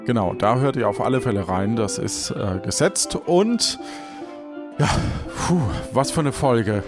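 A man hosting a podcast, speaking casually and unscripted, sometimes halting and repeating words. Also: medium pitch, expressive. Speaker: podcast host. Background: background music.

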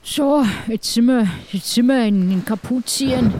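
A woman playing a helpful, inquisitive seafarer who speaks haltingly in an improvised audio drama. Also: on the high side, mellow, a little hiss or hum, natural voice. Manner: halting, repeats words.

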